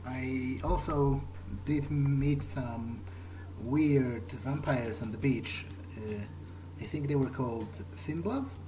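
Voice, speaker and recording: A man voicing a polite, curious young vampire fledgling in video game roleplay, speaking in a hesitant, halting way. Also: mid-range voice, noisy background, natural voice. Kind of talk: in-character improvised game roleplay. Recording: game music behind the voice.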